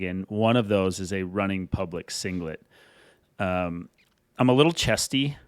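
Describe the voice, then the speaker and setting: A man's voice: fairly low pitch, smooth, no room sound, studio quality. A man co-hosting a podcast in casual chat, joking and rambling. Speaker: podcast co-host.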